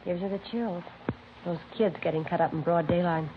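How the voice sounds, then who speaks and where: A woman's voice: lowish voice, brisk, close to an everyday voice. An actress playing a practical, understated policewoman in an old radio crime drama. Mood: matter-of-fact. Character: practical, matter-of-fact policewoman colleague. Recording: muffled tinny vintage radio, faint noise.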